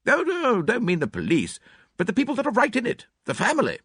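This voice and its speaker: A man's voice: very high voice, huge pitch swings, brisk, a bit bright, husky, no room sound, studio quality, voice pushed up, exaggerated voice. A man reading aloud and voicing a brusque, blunt middle-aged woman who speaks in clipped, curt phrases. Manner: clipped and curt, drops words.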